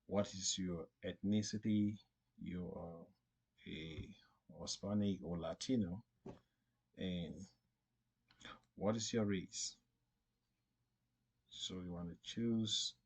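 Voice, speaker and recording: A man narrating an unscripted step-by-step screen tutorial in a halting, repetitive way. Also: low voice, creaky, slightly rough. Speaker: tutorial presenter.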